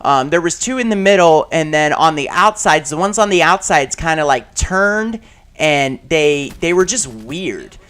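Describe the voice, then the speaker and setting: A man with a raspy voice rambling casually about game controllers while playing a shooter, with many fillers and restarts and sudden loud outbursts at the game. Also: on the high side, lively intonation, measured pace. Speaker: gaming channel host.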